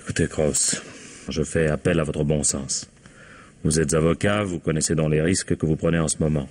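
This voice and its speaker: Deep-voiced police inspector, shrewd, wry, insistent, authoritative, vintage radio crime drama. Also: male voice, steady tone, normal speed, darkish tone. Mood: confrontational. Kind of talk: vintage radio mystery acting.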